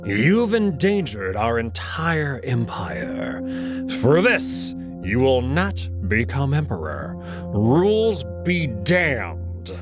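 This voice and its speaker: Man voicing a stern, imperious wolf commander. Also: medium pitch. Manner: stern, slow, contemptuous, imperious. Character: Kato, menacing commanding male alien wolf elder.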